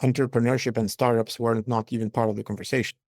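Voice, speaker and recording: A man, a company founder giving measured, matter-of-fact answers as an interview guest. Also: medium pitch, little pitch movement, a little mellow, no echo, studio quality. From Lithuania.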